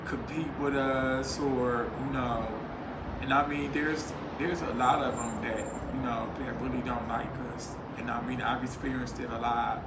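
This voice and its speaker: A man's voice: mid-range voice, level tone, measured pace. A man speaking earnestly as a guest in a casual panel discussion, leaning on "you know" and "you know what I'm saying.". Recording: echoey room with loud noise.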